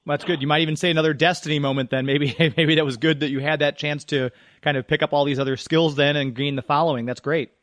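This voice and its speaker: A man's voice: on the high side, steady tone, fast talker, a bit bright, no echo, clean studio sound. A man hosting a casual radio interview, responding to his guest in an affirming, encouraging way. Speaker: radio show host, interviewer.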